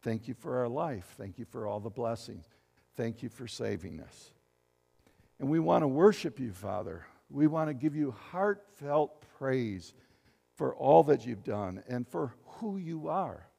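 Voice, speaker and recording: A gravelly-voiced man in his seventies preaches from the pulpit of a church, with a long reverb hanging after his words. He reads verses aloud and asks the congregation questions. His pitch sits in an ordinary middle range for a man. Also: animated, measured pace, dark tone. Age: 70s. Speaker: pastor. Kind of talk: sermon. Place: church sanctuary.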